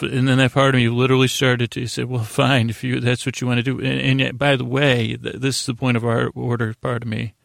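A man hosting a bedtime podcast, rambling in a halting monologue that keeps interrupting itself and wandering off. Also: mid-range voice, creaky, dry recording, studio quality. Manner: meandering, halting, self-interrupting. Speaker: podcast host.

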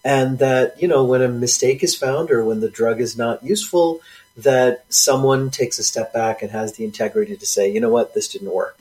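A man co-hosting a science podcast, earnest and halting, leaning on fillers as he explains. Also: mid-range voice, darkish tone, recorded from a distance, low-quality recording. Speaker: podcast co-host. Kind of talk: casual science chat.

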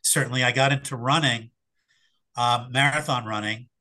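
A middle-aged man, slightly hoarse after an illness, answering interview questions in a reflective, hesitant way with fillers and restarts. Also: medium pitch, fairly even intonation, normal speed, bright, forceful tone. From Philadelphia area. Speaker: sports psychologist guest.

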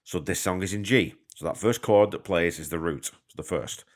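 A man's voice: low-pitched, normal speed. A man hosting a podcast from a hotel room on a portable mic, slightly congested from a cold, chatty and self-deprecating as he patiently explains things. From Canada. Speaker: podcast host. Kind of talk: casual podcast monologue.

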